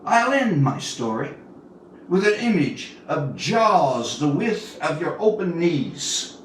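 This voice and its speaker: A man in his seventies reads a literary story aloud, recorded from some distance in a roomy space. His voice sits quite high for a man and moves freely up and down. He takes his time, phrasing like an expressive storyteller with dramatic pauses. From Newfoundland, Canada. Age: 70s. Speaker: author reading his own story. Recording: roomy, distant mic, faint background noise.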